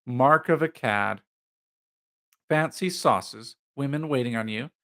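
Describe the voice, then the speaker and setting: A man's voice: mid-range voice, slow, a bit bright. A man voicing a pompous, bumptious schoolmaster in an audiobook novel, eager to impress with blustering, old-fashioned slang.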